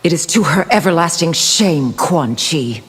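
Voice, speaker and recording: A woman's voice: deep voice, expressive, measured pace. A woman playing a proud, resolute warrior princess in a fighting game, stern and disdainful. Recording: noisy game backdrop.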